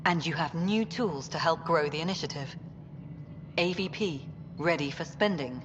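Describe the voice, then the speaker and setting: A woman's voice: sits low, normal speed, noisy background, close to an everyday voice. A woman acting as a businesslike, slightly wry colonial affairs director in a video game. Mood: brisk briefing. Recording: dull game audio, long reverb, music.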